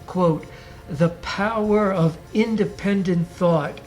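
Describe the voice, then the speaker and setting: A man hosting a podcast, reading a scripted motivational monologue in a deliberate, emphatic way, his voice raspy as he recovers from the flu. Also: high voice, poor audio. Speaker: podcast host.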